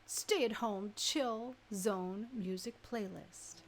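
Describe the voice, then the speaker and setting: A woman radio host chatting casually and informally, thinking out loud as she shares her opinions. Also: medium pitch, slow, slight background noise.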